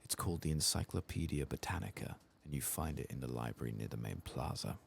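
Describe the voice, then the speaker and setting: Man reading as a deep, solemn tomb keeper. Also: animated, fast, vocal fry, a little hiss or hum, exaggerated voice. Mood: weary, sorrowful. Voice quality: soft-spoken. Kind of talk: reading fantasy game quest dialogue aloud.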